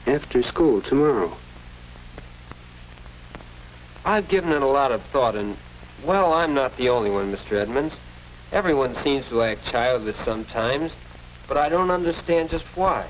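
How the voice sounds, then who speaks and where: An actor playing an earnest, self-questioning American teenage schoolboy in a polite, musing 1940s educational film style. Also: male voice, medium pitch, animated, moderate pace, close to an everyday voice. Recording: muffled, noisy 1940s film soundtrack.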